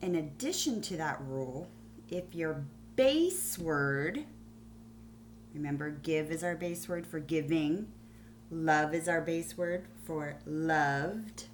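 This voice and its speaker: A woman schoolteacher giving a phonics lesson to young pupils on video, explaining deliberately and spelling things out. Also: low voice, animated, slight background noise. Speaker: primary school teacher.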